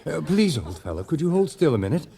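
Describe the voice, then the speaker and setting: An actor playing a brusque, sceptical and excitable art dealer in a radio drama, condescending and prone to fluster. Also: male voice, on the high side, fast talker, vocal fry. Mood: astonished, excited. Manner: emphatic, condescending, stammering when flustered.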